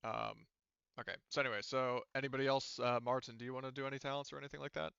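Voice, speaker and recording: A man's voice: mid-range voice, flat delivery, rapid-fire, vocal fry, fairly bright tone, clear, resonant tone, no room sound, lo-fi sound. A man narrating a tabletop game as game master, explaining things in a casual, rambling, conversational way.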